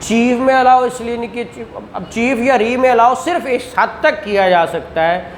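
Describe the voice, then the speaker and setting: High-voiced man teaching a law class. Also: normal speed, slight background noise. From Pakistan. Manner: explains with rhetorical questions and acted examples. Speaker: law lecturer. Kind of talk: classroom lecture.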